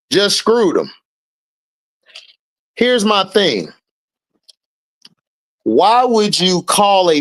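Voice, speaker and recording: Blunt, slow-talking man ranting on stream. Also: on the high side. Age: 30s. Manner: blunt and heated, swearing freely, rising loudly when riled. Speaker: host of an online sports and commentary stream. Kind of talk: casual opinionated commentary, reacting to chat.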